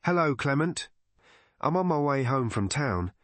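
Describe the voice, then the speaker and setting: A man voicing a brisk, sociable police colonel in a mystery audiobook, with informal, clipped phrasing. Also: mid-range voice, sing-song, dry recording, pitched above the performer's own voice. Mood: brisk, friendly.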